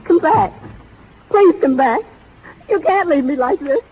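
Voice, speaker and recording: An actress playing an anxious, principled young sweetheart in a 1930s radio melodrama, earnest, refined and pleading. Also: female voice, sits high, lively intonation, measured pace. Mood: pleading, distressed. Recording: tinny, reverberant, noisy old radio recording.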